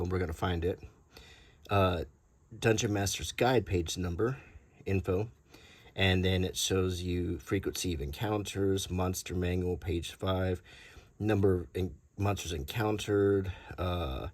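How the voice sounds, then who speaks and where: Low husky middle-aged man. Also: darkish tone, no echo. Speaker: hobby collector presenting a video. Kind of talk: casual show-and-tell.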